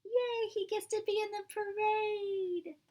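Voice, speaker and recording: A woman's voice: very high-pitched, fairly even intonation, slow, background noise. A woman reading a picture book aloud as a pre-K teacher, expressively, with simple asides to young children.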